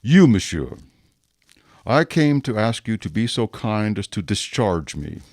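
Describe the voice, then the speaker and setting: An actor playing a rigid, dutiful police inspector in a radio drama, speaking formally and deliberately with stiff deference. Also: male voice, lowish voice, very animated, darkish tone, no echo, studio quality. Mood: stiff, self-accusing. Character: rigid, dutiful male police inspector, self-accusing and obsessive.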